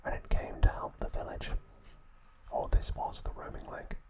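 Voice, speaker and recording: A man narrating an ASMR trivia recap in a breathy, hushed whisper, speaking in short, measured phrases. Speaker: ASMR host.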